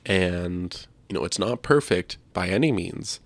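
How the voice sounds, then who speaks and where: A man's voice: low voice, animated, a little slow, no room sound. A man co-hosting a casual discussion, making his points with some emphasis and repetition. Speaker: podcast co-host. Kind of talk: casual discussion of video games.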